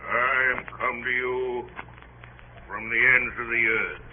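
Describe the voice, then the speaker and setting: An actor playing a grandiose, drunken, feverish missionary passenger, declaiming and rambling theatrically in a radio drama. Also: male voice, mid-range voice, steady tone, slow pace, lightly acted. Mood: grandiose, raving. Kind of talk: 1940s radio drama acting. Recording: tinny old radio broadcast, hissy.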